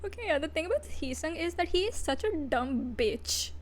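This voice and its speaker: Woman VTuber, high voice, casual livestream reviewer, blunt, profane, giggly. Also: animated, moderate pace.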